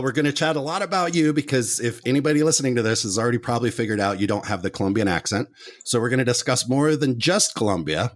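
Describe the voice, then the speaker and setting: A man hosting a travel podcast, chatty and upbeat, with frequent restarts. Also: fairly low pitch. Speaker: podcast host. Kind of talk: scripted intro then casual interview.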